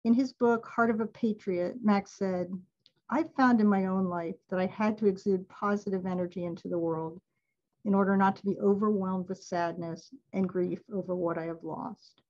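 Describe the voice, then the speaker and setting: A woman's voice: medium pitch, steady tone, normal speed, a little mellow, lo-fi sound. A woman giving a casual webinar talk on a video call, chatty and laughing often. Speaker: alumni event presenter.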